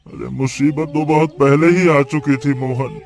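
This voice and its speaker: A man's voice: fairly high pitch, fairly even intonation, unhurried, vocal fry, darkish tone. A man voicing a remorseful village hotel owner in a cartoon story, talking emotionally and pleadingly.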